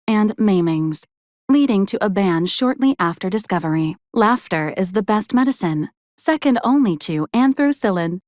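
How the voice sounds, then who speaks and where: A woman voicing a polite, officious robot support unit in a video game, speaking in formal, clipped, deadpan announcements. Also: mid-range voice, no echo, studio quality, lightly acted. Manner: formal, clipped, programmed announcements in complete phrases.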